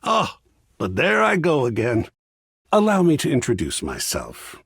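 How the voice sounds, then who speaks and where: A man voicing a whimsical, effusive video game character who is art itself, raspy and gravelly, speaking in a grandiose, florid, theatrical way. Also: mid-range voice, huge pitch swings, a little slow, a bit bright, dry recording, studio quality, full character voice. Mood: intrigued, fond. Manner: grandiose, florid, savoring words with dramatic swoops. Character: flamboyant, whimsical living embodiment of art, effusive and philosophical.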